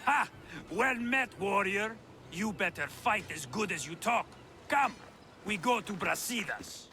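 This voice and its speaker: An actor playing a brash, hearty soldier in a video game. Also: male voice, high-pitched, animated, a little slow. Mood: hearty challenge. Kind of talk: video game cutscene acting.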